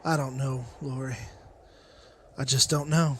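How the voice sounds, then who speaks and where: A man's voice: mid-range voice, level tone, fairly bright tone, a little hiss or hum, natural voice. A man playing an anxious, determined father and survivor leader in a motion comic, speaking with urgency. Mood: worried, subdued. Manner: urgent, halting. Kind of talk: dramatized motion comic dialogue.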